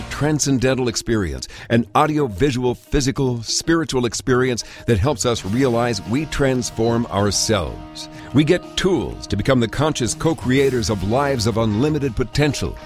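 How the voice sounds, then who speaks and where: Male ad voice, middle pitch, steady persuasive read with quiet music behind. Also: normal speed, few pauses. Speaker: ad announcer. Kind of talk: scripted radio ad read.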